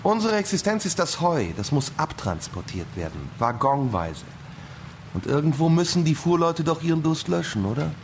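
A coarse young farm heir, awkward and bossy. The voice is fairly high for a man and very animated in pitch, in the style of radio drama. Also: moderate pace, a little hiss or hum. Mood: explanatory. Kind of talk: German radio drama acting.

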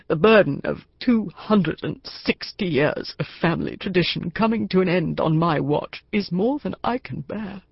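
A woman reader voicing a despairing older male company chairman who wails his bitter complaints slowly. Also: mid-range voice, vocal fry, husky, dry recording, lo-fi sound, heavily acted. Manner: wailing, bitter, slow.

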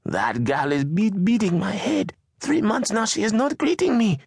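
A fierce toothless mother shrills and scolds her son from a cramped shack, as a male narrator puts her on. Her voice leaps high and swings widely, with a husky grain beneath the sharp scolding. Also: animated, moderate pace, no echo, clean studio sound, full character voice.

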